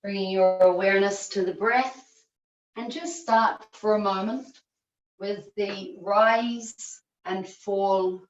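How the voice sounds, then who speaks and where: A woman teacher leading a guided yoga relaxation in measured short phrases with long pauses, recorded over a video call. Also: fairly low pitch. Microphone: video call, at a distance. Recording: distant, echoey, muffled video-call recording.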